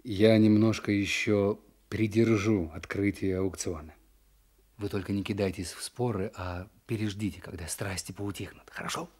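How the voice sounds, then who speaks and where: An honest kindly russian official, lowish voice, radio drama. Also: male voice, fairly even intonation, normal speed, a little mellow, husky.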